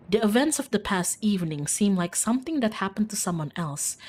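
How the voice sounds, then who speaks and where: A woman reading scripted narration as an ominous, hushed storyteller in a horror visual novel. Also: fairly low pitch, a little hiss or hum, close to an everyday voice.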